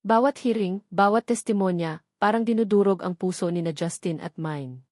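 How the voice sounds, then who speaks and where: A woman's voice: medium pitch, moderate pace, a little mellow, no room sound. A woman narrating a dramatic audio love story in an earnest storytelling way, close to the mic.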